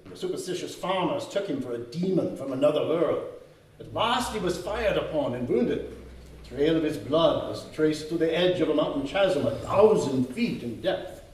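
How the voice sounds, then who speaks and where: A grave older mentor in an old-time radio horror play, acted by a man admonishes with solemn, theatrical emphasis. The high voice rises and falls widely. It is recorded in a heavily echoing hall with some faint noise. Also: expressive, moderate pace, a little mellow, lightly acted. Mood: grave, guarded. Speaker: actor in a live radio drama. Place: live stage in a community hall. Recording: echoey hall, distant mic, faint noise.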